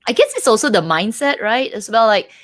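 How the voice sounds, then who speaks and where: A woman's voice: fairly high pitch, expressive, a little fast, no room sound, studio quality. A woman hosting a casual livestream chat from Malaysia, talking with many likes and restarts and laughing often. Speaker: livestream host.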